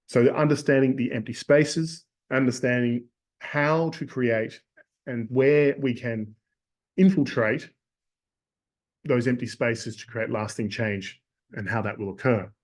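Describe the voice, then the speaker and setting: A man with a hoarse voice teaches in a webinar, his pitch sitting in an ordinary middle range. He talks in a thoughtful, searching way, pausing on fillers and restarting phrases as he works through ideas. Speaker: acupuncture lecturer. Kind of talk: webinar lecture.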